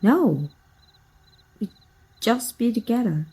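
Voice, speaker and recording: A woman from Mexico playing a sly, taunting, manipulative female vampire hunter and estranged sister in an ASMR roleplay, speaking with deliberate, mock-sweet menace. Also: a little high, animated, warm tone, breathy edge, exaggerated voice. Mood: coaxing.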